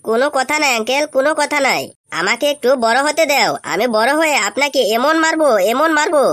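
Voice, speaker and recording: Woman voicing a high-pitched cheeky cartoon schoolboy. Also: fast, fairly bright tone. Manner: exclamatory, repetitive mock confusion. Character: cheeky scheming schoolboy pretending to be deaf and crazy. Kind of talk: comedy cartoon dub.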